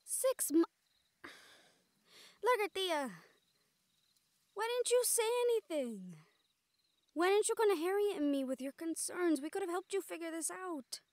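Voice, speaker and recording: A woman's voice: very high voice, animated, unhurried, pauses often, a little mellow, no echo. A woman playing an exasperated but caring siren in an audio roleplay, earnest and sighing, with Spanish endearments. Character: female siren-banshee, exasperated but caring partner and team mate.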